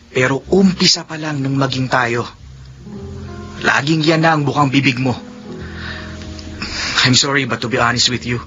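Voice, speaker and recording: A man's voice: medium pitch, pushed and bright, natural voice. A man playing an earnest young man in love in a radio drama, pleading and hesitant, stammering when nervous. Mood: hurt, frustrated. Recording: dull lo-fi audio, faint music behind.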